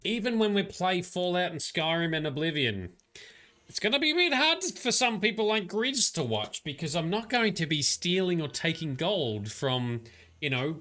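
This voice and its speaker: A man's voice: on the high side, bright, forceful tone. A man giving chatty, rambling live commentary while he plays a game and talks to his chat. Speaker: streamer. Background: faint game music.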